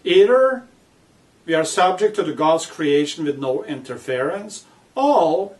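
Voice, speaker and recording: A man reading his own book aloud with commentary, speaking in deliberate, emphatic phrases broken by pauses. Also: a little high, big pitch swings, darkish tone. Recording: distant microphone.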